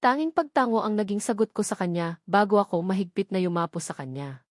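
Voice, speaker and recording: A woman's voice: mid-range voice, animated, a little fast, dry recording. Woman narrating romance, dry sound. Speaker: audiobook narrator. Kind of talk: scripted first-person romance narration.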